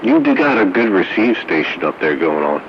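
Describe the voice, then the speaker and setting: A deep-voiced man banters casually as a radio operator. His voice comes through a two-way radio, dull and narrow, with clear noise over it. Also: very animated, brisk. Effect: two-way radio transmission. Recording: muffled, noisy two-way radio audio.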